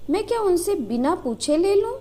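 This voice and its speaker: A woman's voice: extremely high pitch, big pitch swings, normal speed, mellow, a little hiss or hum, voice pushed up. A woman storyteller playing a playful young village wife who banters coyly but stays loyal. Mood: protesting. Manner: coy, bantering.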